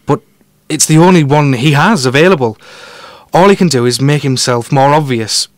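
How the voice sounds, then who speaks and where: A man playing a confident, quick-witted amateur detective in an audio drama, explaining his deductions in a teasing, conversational way. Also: mid-range voice, no room sound, studio quality, lightly acted. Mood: assured, explaining. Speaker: podcast guest detective.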